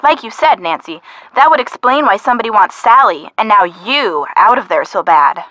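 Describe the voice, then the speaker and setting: A woman playing a brisk, skeptical young friend of a detective in a video game, with a wry, assertive manner. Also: medium pitch, lively intonation, sharp tone, dry recording, rough recording. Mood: probing, skeptical. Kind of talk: scripted video game voice acting.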